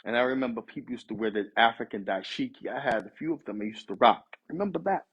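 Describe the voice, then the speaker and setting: A man's voice: mid-range voice, lively intonation, quick pace, a bit bright, slightly rough. A man in his fifties from the US East Coast, giving a conversational sermon with Arabic phrases mixed in. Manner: conversational, street-inflected preaching with Arabic phrases.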